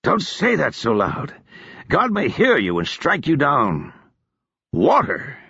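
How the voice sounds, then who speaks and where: A man voicing a drunken, foppish male officer, hungover and carefree, in a husky voice. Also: fairly high pitch, huge pitch swings, takes it slowly, bright, forceful tone, no room sound, lo-fi sound, voice pushed up, exaggerated voice. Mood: indignant.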